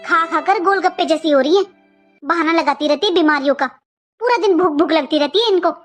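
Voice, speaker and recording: A woman's voice: very high voice, brisk, soft background music. A woman voicing a resentful, curt young Indian daughter-in-law in a cartoon family skit, grumbling and argumentative.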